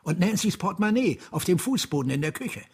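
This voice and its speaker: Pushy detective, higher voice, super raspy, radio drama. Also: male voice, big pitch swings, fairly quick, heavily acted. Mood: insistent, skeptical. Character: persistent, skeptical male police detective.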